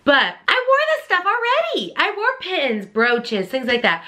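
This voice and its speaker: A woman in her thirties from Texas with a Texan accent, chatting quickly and casually to camera about books she wants to read. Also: high-pitched, huge pitch swings, pushed and bright. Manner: chatty, quick, addresses viewers as y'all. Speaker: book vlogger.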